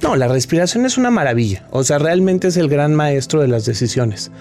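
A man's voice: fairly high pitch, sing-song, fairly quick, darkish tone, a little hiss or hum. A man, a guest expert answering interview questions in an explanatory way, often restarting his sentences.

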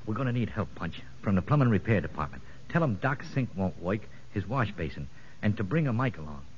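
An actor playing a shrewd, streetwise convict ringleader in a 1950s radio drama, sly and slangy. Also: male voice, on the low side, sing-song, brisk, a little hiss or hum. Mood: wary, scheming. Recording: echoey, dull vintage radio recording.

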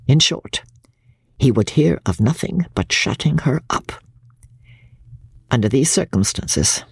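A woman's voice: a bass voice, creaky, darkish tone, breathy edge, no room sound, studio quality, exaggerated voice. A woman reading classic literature dramatically as a prim, self-righteous older mother, pompous, genteel and indignant in manner. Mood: self-justifying.

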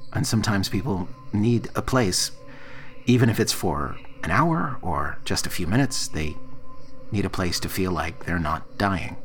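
A man's voice: deep voice, a bit bright. An actor playing an earnest, exasperated man who runs a time-traveling diner in audio fiction, explaining things in a wry, hesitant way. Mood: earnest, somber.

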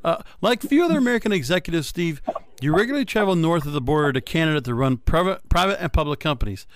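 A man's voice: on the high side, animated, fast, no room sound. A man hosting a podcast interview in a conversational style, putting long, wordy questions to his guest. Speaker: podcast host.

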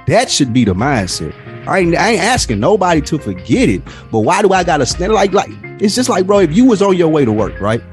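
An American man hosting a podcast, arguing his point casually and emphatically in slangy everyday speech. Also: medium pitch. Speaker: podcast host. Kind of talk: casual opinionated debate.

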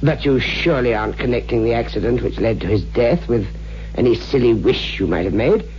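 An actor playing a kindly, sceptical older scholar in a 1960s radio drama, courteous and avuncular. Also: male voice, mid-range voice, expressive, fairly quick, a little mellow, close to an everyday voice. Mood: sceptical, puzzled. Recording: dull old radio recording, faint hum.

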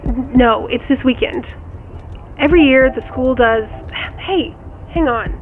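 A woman's voice: sits high, a little slow. An actress playing a harried mother wrangling kids while on the phone in a naturalistic audio drama. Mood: harried, friendly. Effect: phone call filter. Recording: tinny phone line, faint noise.

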